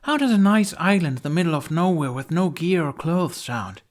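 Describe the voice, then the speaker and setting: A man's voice: a little high, animated, normal speed, pitched above the performer's own voice, natural voice. A man voicing a tough young female Viking warrior who growls her threats, reading a storybook aloud. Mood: menacing.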